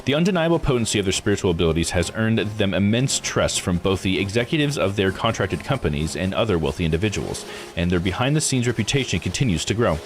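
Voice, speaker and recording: A man's voice: sits low, big pitch swings, fast talker. A man streaming a visual novel, reading text aloud and adding casual commentary. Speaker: game streamer. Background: music behind the voice.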